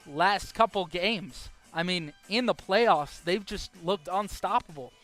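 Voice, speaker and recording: A young adult man doing live baseball play-by-play as the announcer, speaking in short clipped phrases with measured pauses. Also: high-pitched, fairly bright tone, soft background music. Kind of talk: live sports play-by-play commentary.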